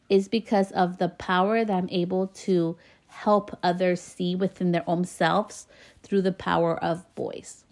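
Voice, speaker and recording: A woman's voice: medium pitch, a little mellow. A woman podcast host with a slight Spanish-influenced accent, talking casually and encouragingly to her listeners, laughing now and then between phrases. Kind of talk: casual motivational monologue.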